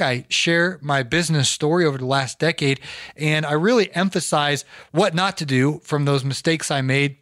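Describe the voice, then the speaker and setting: A hoarse man hosting a podcast, chatting energetically and telling rambling stories. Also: fairly high pitch, fairly bright tone, dry recording, clean studio sound. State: hoarse from recording. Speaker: podcast host. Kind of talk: casual podcast chat.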